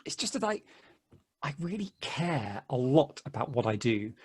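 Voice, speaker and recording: A man reading the part of an eager, polite hiker in a stage play table read, with a halting, chuckly, friendly way of talking. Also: medium pitch, big pitch swings, a little mellow, breathy edge. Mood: earnest, pleading. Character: earnest, chatty, friendly male hiker, eager to befriend everyone.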